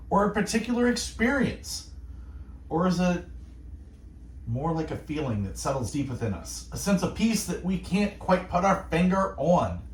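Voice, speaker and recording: A male radio host speaking in a calm, soothing, meditative way, gently musing and asking reflective questions. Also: a little high, echoey room, slight background noise, low-quality recording. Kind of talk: gentle devotional radio talk.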